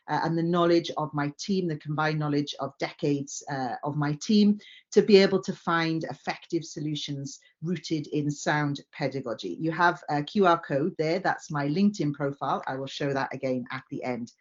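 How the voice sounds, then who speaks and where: A woman's voice: sits low, moderate pace, dull audio. A Welsh woman giving a conference presentation, friendly and earnest, with frequent "uh" fillers. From Wales. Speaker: company director.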